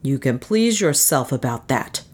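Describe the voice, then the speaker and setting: Woman reading as a low, solemn adventurer man. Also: very animated, a little slow, darkish tone, breathy edge, full character voice. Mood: enraged, bitter. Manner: grave, formal, firm. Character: solemn, proud adventurer man, protective but stern. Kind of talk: dramatised audiobook dialogue.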